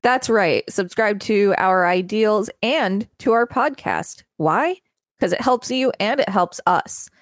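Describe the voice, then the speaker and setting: A woman's voice: mid-range voice, expressive, slow, dry recording, clean studio sound, soft background music. A woman co-hosting a podcast, reading reference text aloud with casual commentary. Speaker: podcast co-host.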